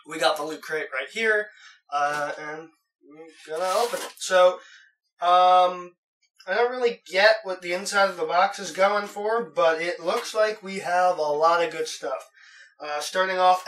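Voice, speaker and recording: A man's voice: high-pitched, pushed and bright, distant mic. A young man with a cold, chatting casually while unboxing, rambling with lots of "uh"s and restarts, recorded in his bedroom. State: has a cold. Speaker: unboxing vlogger. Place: bedroom at home.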